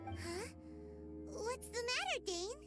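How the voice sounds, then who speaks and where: A woman voicing a tiny, chatty, excitable fairy companion in a video game, childlike and cutesy, with exclamatory delivery. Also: very high-pitched, slow, exaggerated voice. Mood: chatty, puzzled. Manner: cutesy, exclamatory, speaks of self in third person. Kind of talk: video game dialogue acting. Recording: loud music under the voice.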